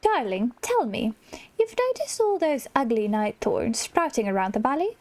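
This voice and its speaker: A woman's voice: fairly high pitch, big pitch swings, moderate pace, darkish tone. A woman voicing a manipulative villainess who coaxes a young protégée in a theatrical, condescending manner, as in a game story. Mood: sly coaxing.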